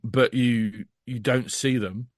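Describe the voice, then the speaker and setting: A man's voice: medium pitch, steady tone, slow, no room sound. A man with a mid-range voice talks as a podcast guest, recorded dry. His delivery is casual and conversational. He is blunt and opinionated, and swears freely.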